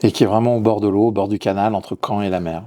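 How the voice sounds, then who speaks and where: Mellow-voiced middle-aged French chef. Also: male voice, fairly low pitch, fairly even intonation, fast. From Lyon, France. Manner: hesitant, repeats words, warm about his work. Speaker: chef and restaurant owner. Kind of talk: interview answers.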